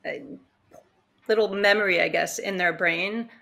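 Bright-voiced woman, thoughtful guest. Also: lowish voice, fairly even intonation, moderate pace, vocal fry. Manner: thoughtful, reflective, many fillers. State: slightly hoarse. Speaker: podcast guest, consultant. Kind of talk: casual interview talk. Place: home room, indoors. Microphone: earbud headset on video call.

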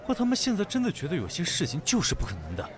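An actor playing a brash, lovesick teenage schoolboy in an audio drama, grumbling and easily exasperated. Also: male voice, on the high side, huge pitch swings, rapid-fire. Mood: grumpy hopeful. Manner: foul-mouthed, grumbling, swinging into outbursts. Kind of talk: modern audio drama acting.

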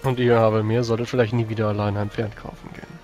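A man's voice: lowish voice, flat delivery, fast talker, darkish tone, lightly acted. A man playing a dry, world-weary warrior of a religious order in a tabletop roleplay, speaking bluntly. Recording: music behind.

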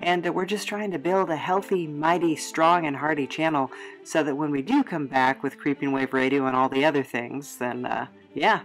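Female vlog host, low register, playful rambling voice-over, light music bed. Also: steady tone, normal speed, low-quality recording. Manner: playful, wry, rambling asides. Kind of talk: casual, chatty travelogue voice-over. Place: studio voice-over.

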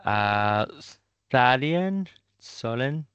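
A man's voice: medium pitch, expressive, drawn-out words, fairly bright tone, smooth, no echo, muffled recording. A man hosting an online webinar, inviting participants to introduce themselves, with frequent fillers and a friendly, encouraging manner over a video call. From Brazil and Italy. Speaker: webinar host, community manager.

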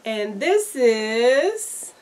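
A woman's voice: a little high, sing-song, extremely slow, noisy background. A middle-aged woman, a little congested from flu, talking casually as a vlogger and showing things to viewers in an unboxing video. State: slightly congested, recovering from flu. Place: small indoor room at home.

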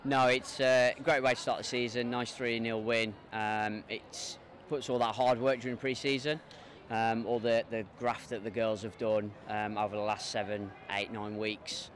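A middle-aged man, a football coach, giving measured, hesitant answers in a post-match interview outdoors. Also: mid-range voice, level tone. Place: open football stadium pitchside, outdoors. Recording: outdoor noise behind.

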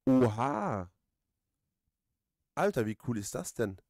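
A man's voice: medium pitch, sing-song, takes it slowly, gravelly, a little mellow, no room sound, studio quality. A man giving casual let's play commentary, rambling and hesitant as he thinks aloud. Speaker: let's player, host.

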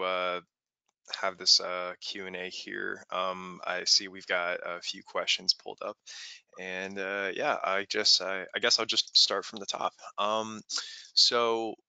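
A man hosting an online Q and A, halting and restarting his phrases. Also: sits low, level tone, vocal fry, sharp tone, clear, resonant tone, no echo. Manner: hesitant, restarts often. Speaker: host. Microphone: video call.

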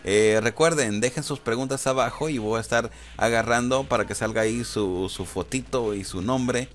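A man's voice: mid-range voice, normal speed. A man chatting informally and hesitantly as a YouTuber, using slang and repeating himself. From Guatemala. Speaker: YouTuber answering viewer questions. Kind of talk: casual chat over gameplay footage. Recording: clear background noise.

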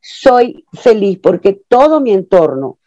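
A woman's voice: mid-range voice, takes it slowly, background noise. A woman in her sixties from Venezuela, an actress chatting expressively as a guest over a video call from a small room at home. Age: 60s. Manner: expressive, animated storyteller with lots of hand gestures. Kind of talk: casual interview chat. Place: small room at home, plain wall behind. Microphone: earphone mic on a video call. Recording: dull, lo-fi video call audio.

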